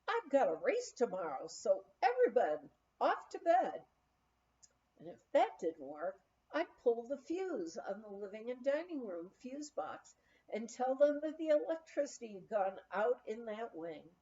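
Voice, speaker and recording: A woman's voice: on the high side, mellow, lo-fi sound. A woman reading a memoir aloud as scripted narration, in a storytelling manner, like an amateur audiobook reader.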